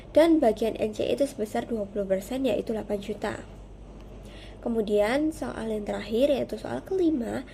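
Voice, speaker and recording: Young woman presenting over faint noise, bright voice. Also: a little high. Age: young adult. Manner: formal, methodical. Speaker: student presenter. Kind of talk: prepared class presentation, explaining step by step.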